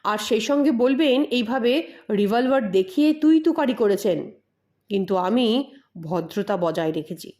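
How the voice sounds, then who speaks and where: A woman's voice: fairly high pitch, lively intonation, a little slow, darkish tone. A woman voicing a composed, firm male hero who questions politely in an audio mystery story. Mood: probing, confident.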